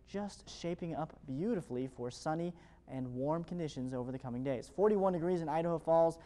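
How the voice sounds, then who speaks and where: Fast-talking American TV weatherman. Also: on the high side, dark tone. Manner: upbeat, fluent presenter delivery. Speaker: television meteorologist. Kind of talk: morning TV weather forecast.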